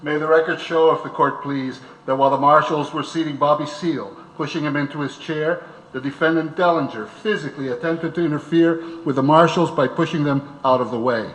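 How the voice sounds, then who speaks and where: A man's voice: a little high, brisk, fairly bright tone, close to an everyday voice. A man playing a formal, accusing prosecutor in a staged courtroom reading in an echoey hall. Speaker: reader in staged documentary. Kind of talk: dramatic reading of court transcript. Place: large auditorium. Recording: echoey hall, slight noise.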